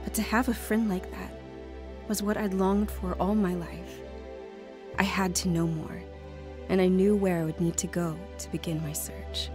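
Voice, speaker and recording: A woman's voice: fairly low pitch, fairly even intonation, moderate pace, music in the background, lightly acted. An actress playing a lonely, hesitant woman who has found faith and tells her story earnestly in an audio drama. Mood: reflective, earnest. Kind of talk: audio drama dialogue and first-person narration.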